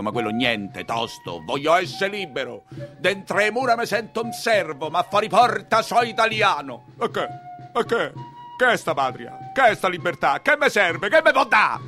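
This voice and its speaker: A man's voice: medium pitch, bright, forceful tone, pitched below the performer's own voice. An actor playing an anxious, plain-spoken nineteenth-century coachman with a Roman accent, in a theatrical storytelling monologue.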